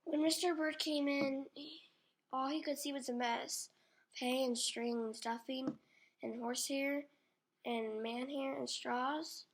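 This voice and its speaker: Female storybook reader, high pitch, unhurried deliberate delivery aimed at young children. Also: steady tone. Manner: deliberate, addresses child listeners. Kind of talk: slow read-aloud for young children. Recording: faint background noise.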